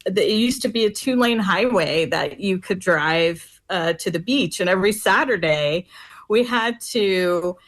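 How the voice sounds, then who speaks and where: A woman's voice: mid-range voice, lively intonation, unhurried. A woman from Florida, a radio guest, reminiscing in a friendly way with many fillers. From Florida, United States. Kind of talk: casual interview chat.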